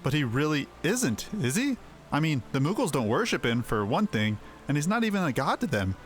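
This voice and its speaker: A man's voice: fairly high pitch, sing-song, a little fast. A man voicing a naive, questioning young woman adventurer from a fantasy game, in plain, chatty speech. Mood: puzzled, doubting. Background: faint music.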